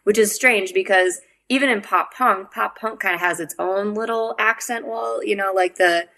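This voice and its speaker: A woman's voice: medium pitch, moderate pace, gravelly, sharp tone. A young adult woman singer with a Wisconsin accent, chatting casually as a podcast guest, laughing often and acting out quotes.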